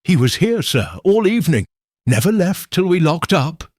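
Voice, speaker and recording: A man's voice: sits high, big pitch swings, normal speed, darkish tone, no echo, studio quality, pitched above the performer's own voice. A man voicing an earnest young apprentice in an audiobook, loyal, emphatic and deferential. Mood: earnest, insistent.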